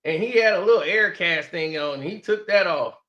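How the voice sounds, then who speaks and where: High bright-voiced man ranting. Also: normal speed, highs cut off. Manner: mocking, heated, repeats himself. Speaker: sports commentary vlogger. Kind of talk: ranting sports commentary.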